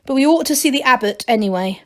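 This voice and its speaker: A woman's voice: sits high, expressive, quick pace, fairly bright tone. A woman voicing a clever, self-assured, impatient male gnome from a children's storybook, in an emphatic know-it-all manner. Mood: knowing, cautioning. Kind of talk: children's storybook read aloud.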